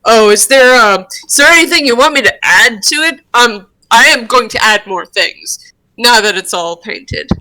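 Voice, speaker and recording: A woman's voice: fairly high pitch, lively intonation, normal speed, pushed and bright, poor audio. A woman voicing an earnest, friendly male cartoon devil, chatty and a little hesitant, in an amateur roleplay. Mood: hesitant, caring. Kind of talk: amateur roleplay read-aloud of a cartoon character.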